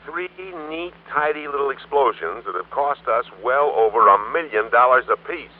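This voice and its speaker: A man's voice: mid-range voice, expressive, normal speed, lightly acted. Droll insurance man played by an actor, tinny line. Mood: droll, persuasive. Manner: droll, casual, teasing. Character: droll, folksy male insurance company desk manager. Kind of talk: old-time radio drama phone dialogue. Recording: tinny, band-limited old radio phone sound.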